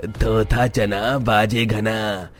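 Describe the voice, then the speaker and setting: Guy doing a mocking village farmer, cartoon style, low slow taunting voice. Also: little pitch movement. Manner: jeering, taunting. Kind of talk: Hindi cartoon dialogue.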